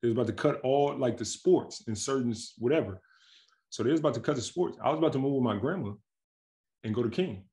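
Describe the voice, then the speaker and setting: A man in his thirties from Milwaukee, a pro basketball player, telling stories in a relaxed, slangy way on a video call from home. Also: medium pitch, quick pace. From Milwaukee, Wisconsin, USA. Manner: relaxed, slangy, animated storytelling with frequent laughs. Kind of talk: casual interview chat. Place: home with staircase behind, indoors. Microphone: video call webcam.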